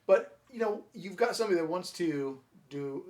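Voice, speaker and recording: A man's voice: high voice, expressive, moderate pace, crowd noise. A man chatting casually as a co-host, agreeable and inquisitive, recorded at a distance from the microphone. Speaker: audio shop owner, co-host. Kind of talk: casual conversational chat.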